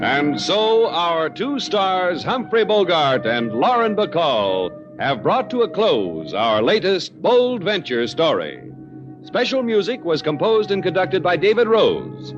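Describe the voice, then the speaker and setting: A man's voice: a little high, big pitch swings, slow pace, music in the background. A man making a formal, showy 1950s radio announcement, on a muffled vintage recording.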